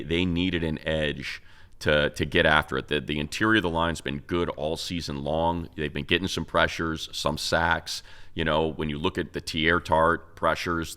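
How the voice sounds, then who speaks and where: Deep-voiced man talking sports. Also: pushed and bright, clean tone. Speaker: sports show co-host and analyst. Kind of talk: casual sports talk analysis.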